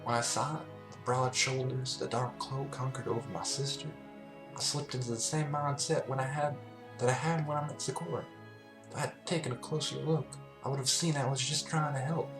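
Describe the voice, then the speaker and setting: A man's voice: mid-range voice, fairly even intonation, fairly quick, echoey room, music in the background, voice pushed up, close to an everyday voice. A man voicing a plain-spoken, earnest female farm pony with a folksy, frank manner in a story reading.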